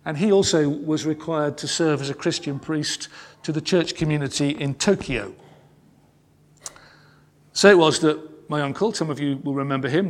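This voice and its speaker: A man telling a family wartime story in a measured, solemn sermon style. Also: on the high side. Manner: measured and solemn, slowing on key phrases. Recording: long reverb in a large hall.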